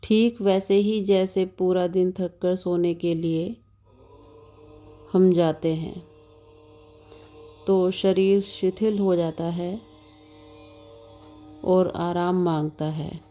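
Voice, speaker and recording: A woman with a mellow, even voice guides a relaxation practice over music. She speaks calmly as a meditation instructor, unhurried and soothing, leaving long pauses between short instructions. Her intonation stays very flat and steady. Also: lowish voice. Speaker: yoga nidra meditation instructor. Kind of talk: slow guided meditation narration.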